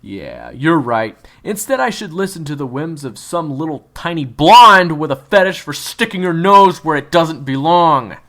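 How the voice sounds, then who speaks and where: A man voicing a hot-tempered, sarcastic tough teenage schoolboy who snaps loudly. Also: mid-range voice, very animated, unhurried, gravelly, pitched above the performer's own voice, heavily acted. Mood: annoyed, sarcastic. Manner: snapping, sarcastic, loud.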